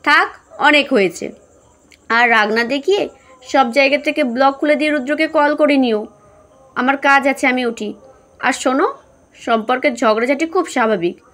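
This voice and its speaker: A woman voicing a patient middle-aged mother in an audio story, soothing and gently chiding. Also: high voice, moderate pace, long pauses. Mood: soft, firm. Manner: soothing, chiding, homespun advice.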